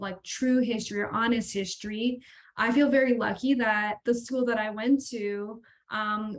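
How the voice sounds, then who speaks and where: A woman in her thirties from Hawaii with an American accent, a facilitator sharing personal anecdotes casually on a video-call panel. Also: fairly high pitch. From Hawaii, United States. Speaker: professional learning facilitator, former teacher. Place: indoors in front of a colourful backdrop.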